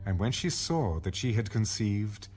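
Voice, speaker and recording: A man reading scripture as a scripted audio narration, in a measured storytelling manner. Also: on the low side, sing-song, darkish tone, soft background music.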